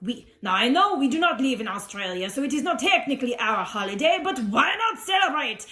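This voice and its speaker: A woman's voice: high-pitched, sing-song, a little fast, bright, forceful tone, exaggerated voice. A woman voicing a jolly, self-assured male French Tyrannosaurus who presents to children with theatrical flair and a French accent. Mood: cheerful explaining. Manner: theatrical, cheerfully boastful, explaining to an audience. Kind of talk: playful holiday presentation for children. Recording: roomy, echoing sound.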